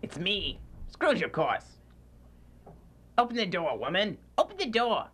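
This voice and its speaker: A man playing a miserly, grumpy old man in a student radio drama, scornful, gruff and easily spooked. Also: high voice, big pitch swings, unhurried, pushed and bright, heavily acted. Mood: irritated, gruff. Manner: gruff, irritable, declamatory.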